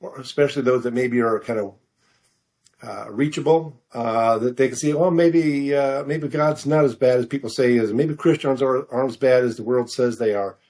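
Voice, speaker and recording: Older guy getting interviewed as an author, mid pitched voice, kinda halting and rambly. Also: darkish tone. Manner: hesitant, halting, rambling delivery. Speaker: novelist guest being interviewed. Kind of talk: conversational interview answers.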